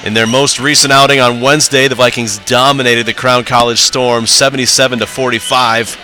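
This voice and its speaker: Bright-voiced male basketball announcer. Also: medium pitch, level tone, fairly quick, soft background music. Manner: friendly welcoming, reads stats with occasional uh fillers. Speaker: play-by-play announcer. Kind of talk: live sports broadcast commentary. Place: university gym broadcast.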